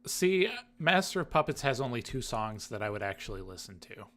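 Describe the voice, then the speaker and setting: A man's voice: mid-range voice, sing-song, brisk, a bit bright. A man chatting casually as a regular third voice on a podcast. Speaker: podcast third chair and producer. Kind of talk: casual chat.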